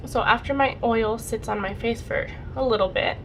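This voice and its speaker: Young woman w/ a cold, kinda raspy and stuffy, chatty, lots of likes, higher voice, noisy home recording. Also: normal speed, a bit bright. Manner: chatty, rambling, lots of "like" and "super". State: slightly raspy and congested from a cold. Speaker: beauty vlogger. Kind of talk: casual makeup tutorial chat.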